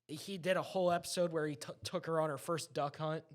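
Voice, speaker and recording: A man's voice: fairly high pitch, steady tone, brisk. A man chatting casually, retelling anecdotes in an enthusiastic, rambling way.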